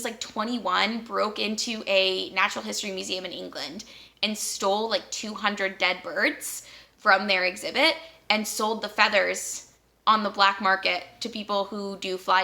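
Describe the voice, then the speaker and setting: A young adult woman chatting casually about books as a vlogger, upbeat and rambling, with easy laughs and quick asides. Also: mid-range voice, gravelly, pushed and bright. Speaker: book vlogger.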